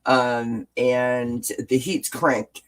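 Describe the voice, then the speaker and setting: A woman hosting a casual livestream from home with a stuffed-up nose, greeting viewers in the chat by name with pet names and often pausing on filler words. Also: extremely low pitch, fairly even intonation. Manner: frequent filler words and restarts. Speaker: livestream host. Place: indoors at home, lights off, sunny window behind.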